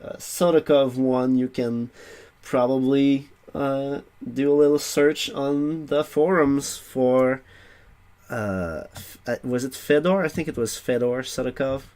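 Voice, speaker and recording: Slow, halting man co-hosting. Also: medium pitch, darkish tone. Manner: halting, many uhs and restarts. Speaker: podcast co-host. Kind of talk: casual chat.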